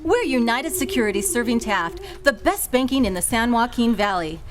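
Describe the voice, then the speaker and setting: A woman reading a scripted radio commercial in a smooth, formal manner during a live show. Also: fairly high pitch, expressive, normal speed. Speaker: ad announcer.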